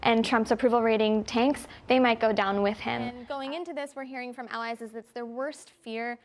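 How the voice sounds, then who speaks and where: A woman reporter giving live news analysis in an explanatory, measured way with long, detailed sentences. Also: a little high, steady tone, slight background noise. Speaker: political reporter interviewed as a news commentator.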